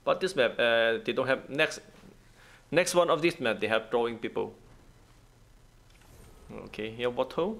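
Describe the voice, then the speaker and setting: A man's voice: mid-range voice, unhurried, gravelly, long pauses, fairly bright tone. A man giving casual gameplay commentary in non-native, broken English, thinking aloud in short, repeated phrases. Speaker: gaming video host.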